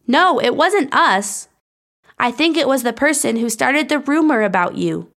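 A woman as a high, bright, earnest teenage girl. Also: lively intonation, a little slow, full character voice. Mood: apologetic, hesitant. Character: earnest, loyal, anxious teenage schoolgirl. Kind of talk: audiobook character dialogue.

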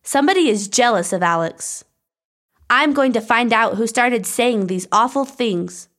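A woman's voice: fairly high pitch, lively intonation, measured pace, fairly bright tone, pitched above the performer's own voice. A woman voicing an earnest, loyal, anxious teenage schoolgirl in an audiobook story. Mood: defensive, earnest. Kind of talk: audiobook character dialogue.

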